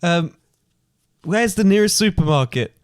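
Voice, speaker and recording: Comic Scrooge, man acting: higher-set voice, bumbling, hesitant, cheerful, improvised feel, dry recording. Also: expressive, unhurried, clean tone, no echo. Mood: bumbling, eager. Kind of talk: ad-libbed comic pantomime.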